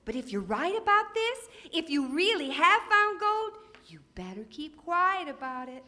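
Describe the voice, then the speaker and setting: Lady doing bossy old west frontier woman, super high sharp voice, scolding, all over the place, radio play. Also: very animated, a little slow, full character voice. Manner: scolding, folksy, blustering. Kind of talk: old-time Western radio re-enactment.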